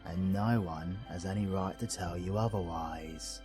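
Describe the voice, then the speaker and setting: A man's voice: low-pitched, fairly even intonation, a little slow, music in the background. A British man playing a cheeky, kind-hearted supervillain in an audio roleplay, chummy, slangy and reassuring, with a bit of mild swearing. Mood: gentle, reassuring. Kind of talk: scripted audio roleplay addressed to the listener.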